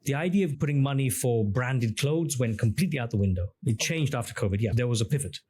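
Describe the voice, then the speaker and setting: A man's voice: medium pitch, lively intonation, quick pace, darkish tone, husky. A middle-aged man who grew up speaking Greek, talking as a podcast guest and explaining his ideas at length with questions and examples. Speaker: podcast guest, engineer and app founder. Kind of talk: conversational interview.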